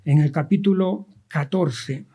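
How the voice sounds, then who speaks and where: A man with a Madrid Spanish accent preaching an expository sermon, deliberate, pausing and posing rhetorical questions as he reads scripture. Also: on the high side, mellow, slightly rough. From Spain, born in Andalusia and raised in Madrid.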